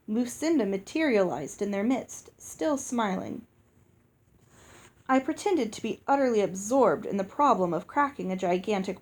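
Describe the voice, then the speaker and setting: A woman's voice: mid-range voice, a little mellow. A woman narrating a children's fantasy novel aloud in the first person, as a storybook reader. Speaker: audiobook reader.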